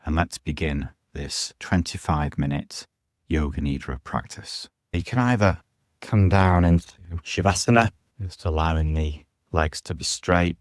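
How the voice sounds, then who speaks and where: A man guiding a yoga nidra meditation as a teacher, soothing and unhurried, leaving pauses between short phrases. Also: a bass voice, no echo, clean studio sound. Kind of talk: guided meditation instruction.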